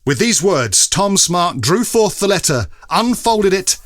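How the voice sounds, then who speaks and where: Animated male storyteller of a classic tale. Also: high-pitched, measured pace, no echo, clean studio sound. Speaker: narrator.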